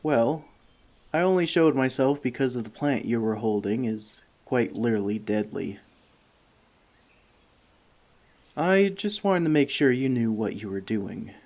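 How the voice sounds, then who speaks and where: Guy doing a friendly male elf for asmr roleplay, mid pitched, wry and teasing. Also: lively intonation, moderate pace, a little mellow, natural voice. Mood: calm, reassuring. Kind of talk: ASMR roleplay addressed to the listener.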